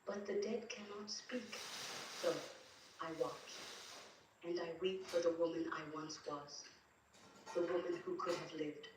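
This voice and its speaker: A woman voicing a terrified, grieving wife, telling her story in slow, dramatic first-person narration. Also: on the low side, close to an everyday voice. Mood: mournful. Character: terrified, grieving young wife recounting abuse from beyond death. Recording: distant, very echoey, faint noise.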